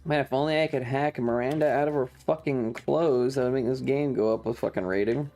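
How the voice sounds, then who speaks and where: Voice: mid-range voice, a little fast, dark tone, soft background music. Profane, sarcastic game streamer. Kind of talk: casual game commentary to live chat.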